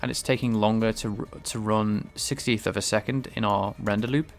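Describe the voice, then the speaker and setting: Man, deep-ish gravelly voice, slightly nasal and sniffly, hesitant live-coding talk, faint music underneath. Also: fairly even intonation, moderate pace. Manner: thinks aloud, hesitant, many restarts. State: slightly stuffed-up and nasal from a cold. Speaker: game developer streaming his work. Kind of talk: casual live-coding commentary.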